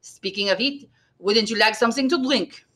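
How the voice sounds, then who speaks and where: Audiobook innkeeper, male, acted by a woman: self-pitying, emphatic, plaintive, with a sharp bright edge. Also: on the high side, normal speed, lightly acted. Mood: eager, chatty.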